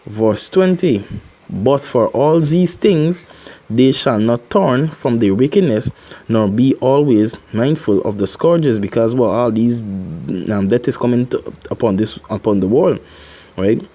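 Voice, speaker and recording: A man hosting a religious commentary, slowly reading scripture and adding remarks with many fillers and repeated "right?". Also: medium pitch, mellow. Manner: reads scripture slowly, filler-heavy, keeps asking "right?".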